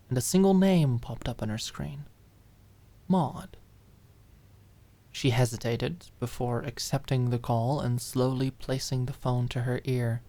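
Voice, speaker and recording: A woman reading a dramatic audiobook, expressive and deliberate, lingering on key phrases. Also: very deep voice. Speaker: audiobook narrator. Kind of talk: dramatic scripted narration.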